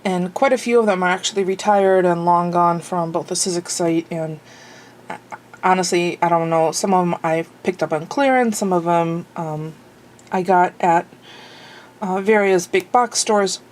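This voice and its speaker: A woman's voice: lowish voice, steady tone, moderate pace, a little mellow, a little hiss or hum. A woman vlogging at home in a casual, rambling way, a little sniffly and full of fillers. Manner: rambling, chatty, many fillers. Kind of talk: casual chat. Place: home, indoors.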